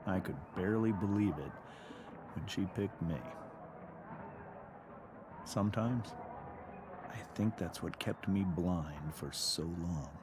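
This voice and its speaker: An actor playing a troubled middle-aged American father in a video game, confessing a painful past to his daughter in measured, halting phrases. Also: deep voice, noisy background. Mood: wistful, then melancholy. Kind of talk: dramatic video game monologue.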